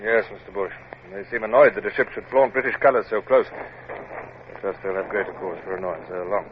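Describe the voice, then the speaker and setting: An actor playing a commanding, stern British naval captain in an old radio adventure drama, speaking in clipped, formal orders. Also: male voice, on the low side, fairly even intonation, fairly quick, close to an everyday voice. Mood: measured, firm. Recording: tinny, noisy vintage radio recording.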